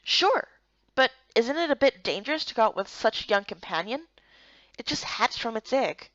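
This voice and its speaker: A woman voicing a spirited, incredulous young guard recruit in a visual novel game, expressive and questioning. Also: on the high side, normal speed, no room sound, dull audio. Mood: puzzled, wary.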